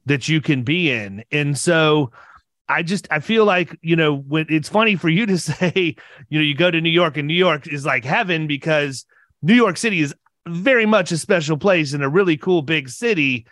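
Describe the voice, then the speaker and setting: A man's voice: fairly high pitch, expressive, normal speed, fairly bright tone, dry recording, clean studio sound. A middle-aged man hosting a podcast and chatting casually as he interviews a guest. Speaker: podcast host.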